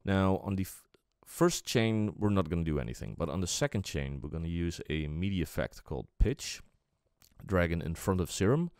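A man presenting a casual music software tutorial, explaining step by step in an unscripted, halting way. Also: deep voice, lively intonation, clear, resonant tone, dry recording, clean studio sound.

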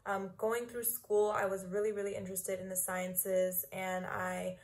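A woman's voice: mid-range voice, level tone, a little slow. A young woman from Los Angeles in her twenties, a dental student answering interview questions to a laptop camera in a small room, chatty with many ums and likes. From Los Angeles, California. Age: 20s.

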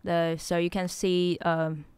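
Chatty young woman, low voice, faint music. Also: flat delivery, slow, a little mellow, clear, resonant tone, no room sound. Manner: chatty and self-deprecating, laughs at herself. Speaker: music producer hosting a tutorial stream. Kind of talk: casual tutorial talk. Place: small home studio with neon lights. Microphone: studio mic on a boom arm close to her mouth.

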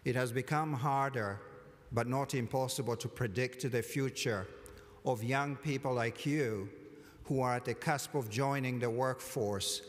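A man giving a formal commencement address from a lectern, measured and deliberate with touches of dry humor. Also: mid-range voice, fairly even intonation. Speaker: college commencement speaker. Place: large hall at a lectern, indoors. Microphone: lectern microphone. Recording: long hall reverb.

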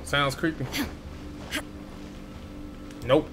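A man's voice: fairly high pitch, steady tone, drawn-out words. A man giving casual, slangy, profane gameplay commentary as he streams from a room. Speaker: streamer playing a video game. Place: indoor room. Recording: game music and effects behind.

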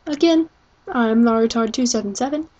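A woman's voice: fairly high pitch, normal speed, dark tone, husky, lo-fi sound. A young woman introducing amateur voice auditions in a casual, self-deprecating, rambling way.

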